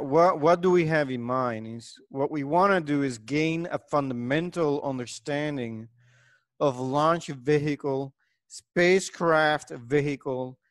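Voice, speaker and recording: A middle-aged man with a Puerto Rican accent, whose first language is Spanish, delivers an engineering lecture. His voice is slightly high and cleanly resonant, with a dry, close sound. He speaks haltingly, pausing often as he goes. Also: no room sound. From Puerto Rico. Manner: halting, frequent hesitations and pauses.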